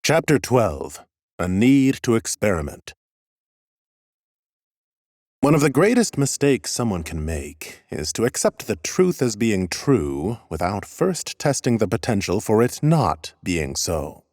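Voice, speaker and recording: Imperious scholarly lich narrator. Pompous, deadpan wit, swooping intonation, dry close studio sound. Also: male voice, on the low side, measured pace, no room sound. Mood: dry, measured. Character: haughty, dry-witted male lich sorcerer, bored scholarly master. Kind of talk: scripted first-person audiobook narration and dialogue.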